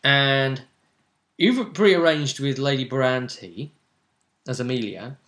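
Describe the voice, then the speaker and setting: A man with a bright, forceful voice at a middle pitch talks as a game master. He recaps a tabletop session casually, with a jokey, theatrical streak. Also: takes it slowly.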